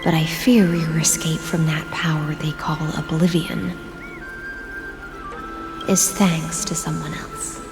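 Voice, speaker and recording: Game role: stately sea queen type, lowish, ornate, poised, enigmatic, in hall reverb. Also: little pitch movement, takes it slowly, long pauses. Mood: amused, lofty. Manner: poised, lofty, formal, ornate. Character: regal, enigmatic sea lady, fondly teasing and protective. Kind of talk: video game voice acting. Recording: echoey reverberant hall, music behind. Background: music.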